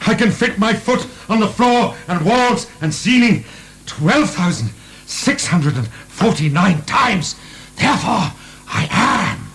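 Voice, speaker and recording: An actor playing a proud, defiant, mocking French marquis in prison, declamatory and sardonic, in a radio drama. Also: male voice, sits high, sing-song, slow, fairly bright tone, heavily acted. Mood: defiant, anguished.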